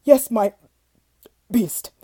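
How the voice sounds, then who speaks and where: A woman's voice: sits high, lively intonation, normal speed, mellow, voice pushed up. A woman voicing a frightened older merchant father who stammers and pleads in a storybook. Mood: stammering.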